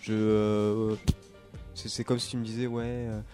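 A man's voice: on the low side, little pitch movement, measured pace, warm tone, faint music behind. A man chatting casually on a podcast, talking with many fillers and restarts. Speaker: podcast co-host, comics shop worker. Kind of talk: casual chat.

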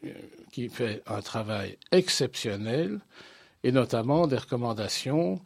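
A man gives formal answers as an interview guest. He is articulate and deliberate, with a mid-pitched voice captured in a dry, close sound. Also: animated, creaky, breathy edge, no echo. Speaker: interview guest, association president.

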